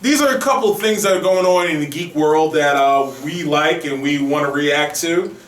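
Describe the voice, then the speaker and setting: A man's voice: on the high side, normal speed, a bit bright, recorded from a distance, slight background noise. Echoey man hosting a casual podcast. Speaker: podcast host. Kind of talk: casual geek news podcast chat. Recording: roomy echo, off the mic.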